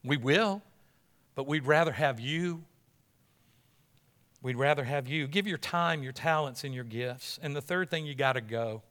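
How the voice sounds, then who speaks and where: A man's voice: medium pitch, unhurried, long pauses, a bit bright. A man preaching as a pastor in an informal, conversational sermon, speaking emphatically and often rephrasing himself. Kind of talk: informal sermon with missions slideshow.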